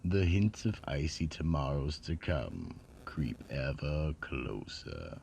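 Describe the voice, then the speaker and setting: An extremely low-voiced man tells a scripted eerie tale as a radio drama narrator. His delivery is gravelly and theatrical, swelling and dipping with lively intonation and dramatic pauses. Faint noise and ambience sit behind him.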